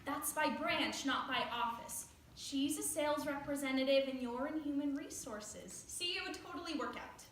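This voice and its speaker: A woman plays an upbeat, sassy young matchmaker in a stage play. Her voice is high and bright, perky and teasing. The room around it rings with heavy echo. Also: moderate pace. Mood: eager, pushy. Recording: very echoey room, faint noise.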